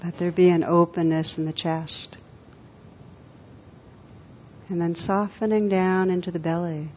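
A woman guides a meditation in a low, very even voice. She speaks gently and without hurry, setting short phrases apart with long pauses. The recording is somewhat muffled, with a rumbling noise underneath. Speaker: meditation teacher. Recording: muffled, dull audio with clear rumbling noise.

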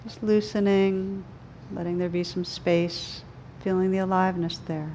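A woman leading a guided meditation, speaking gently and soothingly in slow, unhurried phrases with pauses. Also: on the low side, little pitch movement, slight background noise. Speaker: meditation teacher.

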